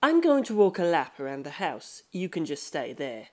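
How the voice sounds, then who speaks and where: A woman's voice: low voice, very animated, moderate pace, mellow. A blunt, intensely curious male artist as a woman narrator plays him. The character talks in an assertive, analytical way, as in an audiobook mystery. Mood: cool, matter-of-fact.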